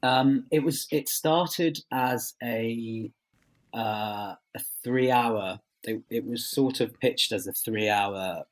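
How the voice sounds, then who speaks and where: A man answering interview questions as a writer, thoughtful and hesitant, often rephrasing as he goes. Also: mid-range voice. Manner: hesitant, halting phrasing with many trailing rephrasings.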